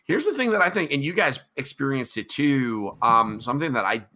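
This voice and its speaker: A man's voice: medium pitch, expressive, a little fast, sharp tone. A middle-aged man hosting a casual podcast chat, rambling with many ums and restarts. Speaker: podcast host.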